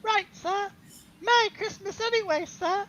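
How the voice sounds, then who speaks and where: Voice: very high-pitched, a little slow, lo-fi sound, heavy background noise. A performer voicing a chirpy, polite street caroler in a radio-play reading. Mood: cheery.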